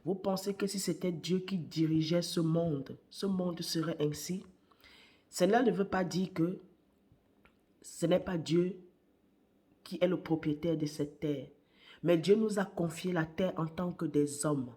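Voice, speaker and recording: Slow, hushed woman preaching with a cold. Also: on the low side. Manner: slow and deliberate, repeats phrases, hushed at times. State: has a cold, voice worn. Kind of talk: morning sermon exhortation.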